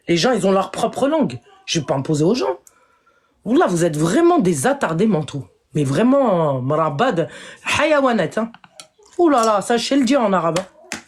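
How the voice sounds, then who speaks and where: A woman's voice: medium pitch, husky. A woman from France ranting on a live stream, scolding her commenters in an indignant, confrontational way. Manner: indignant, confrontational, swings between shouting and muttering; addresses viewers directly, scolds commenters.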